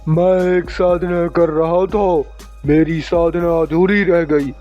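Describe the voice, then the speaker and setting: A crazed, flesh-hungry ghost drawls slowly in a raised, fry-laden voice, performed by a man for a horror cartoon. The sound is muffled and lo-fi, with faint music underneath. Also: fairly high pitch, fairly even intonation, warm tone. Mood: scheming. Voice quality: gravelly. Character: crazed flesh-eating male ghost, powerless. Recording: muffled lo-fi recording.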